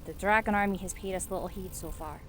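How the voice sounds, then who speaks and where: A woman acting a dignified, measured fantasy ranger. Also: mid-range voice, music in the background, lightly acted. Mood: thoughtful, practical. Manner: deliberate, formal. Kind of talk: fantasy audio drama acting.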